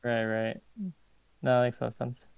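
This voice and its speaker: Gravelly man taking coaching. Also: medium pitch, completely flat delivery, moderate pace. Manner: agreeable, self-deprecating, laughs often. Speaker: player being coached. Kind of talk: casual chat.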